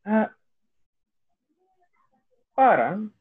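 A young American man talks as a podcast guest over a thin, phone-like line with a dry sound. His voice sits a little high, and he pauses often and stops to search for words. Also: very animated, slightly rough, no echo. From United States. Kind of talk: casual conversation.

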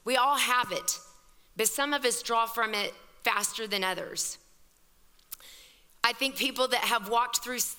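Hoarse woman preaching in a reverberant hall. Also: medium pitch, bright, forceful tone. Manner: fervent, conversational preaching with exclamations. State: scratchy, hoarse voice. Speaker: pastor. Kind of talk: sermon at a women's evening service. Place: church hall. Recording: long reverb of a large hall.

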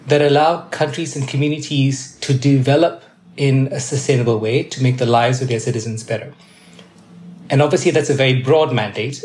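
Measured fifty-year-old man, echoey room. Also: mid-range voice, a little mellow. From Malaysia. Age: 50s. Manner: measured, thoughtful, occasional restarts. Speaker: UN development adviser, podcast guest. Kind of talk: explanatory interview answers.

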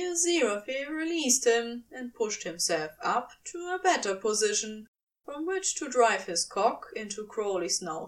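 A woman's voice: on the high side, recorded from a distance. A woman reading fiction aloud as a scripted, dramatic narration.

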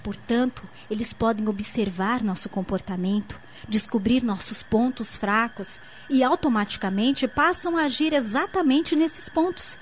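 A woman's voice: a little high, measured pace, hardly any pauses, lightly acted. A woman playing an earnest young niece in a radio soap opera, explaining things in an articulate, didactic way. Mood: earnest, explanatory. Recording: muffled lo-fi audio with faint noise.

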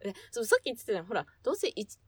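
A woman's voice: a little high, sing-song, rapid-fire, a crowd in the background. A woman co-hosting a casual podcast chat among friends, talking in a colloquial, emphatic way with slang and playful exaggeration. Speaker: podcast co-host.

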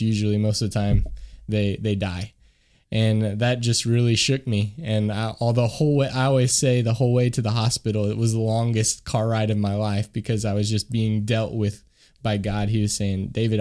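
A man's voice: mid-range voice, dark tone. A man from Kentucky, a missionary guest in a studio, telling his testimony in an earnest, sincere way. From Kentucky, USA. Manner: earnest, sincere, with frequent restarts. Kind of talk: personal testimony in an interview.

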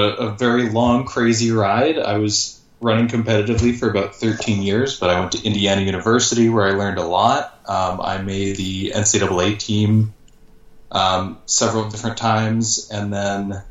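A man in his thirties, a podcast guest, telling his story in a relaxed, rambling way with lots of fillers. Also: on the low side, echoey room, recorded from a distance, highs cut off. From born in Canada, grew up in Georgia, USA. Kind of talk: casual storytelling in an interview.

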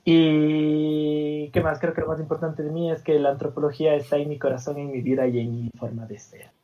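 A woman's voice: deep voice, level tone, a little fast, recorded from a distance, lo-fi sound. A woman, chatting reflectively in a casual group. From Cuenca, Ecuador. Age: 20s.

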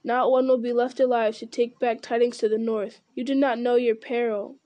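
A steadfast rightful heir speaks with calm, firm command, as a woman reads him. The voice is mellow and a touch high. Read from a storybook. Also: moderate pace, muffled recording, close to an everyday voice.

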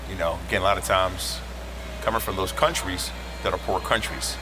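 A man's voice: low voice, steady tone, moderate pace. A man in a casual podcast panel, exhorting listeners and repeating his points, recorded in a lounge. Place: cigar lounge. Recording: clearly noisy lounge recording.

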